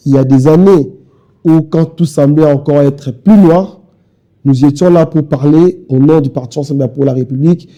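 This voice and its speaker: Bright-voiced young Congolese party spokesman. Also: a little high, normal speed. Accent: Congolese French. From Kinshasa, DR Congo. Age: young adult. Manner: insistent, repetitive speechmaking. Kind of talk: televised interview.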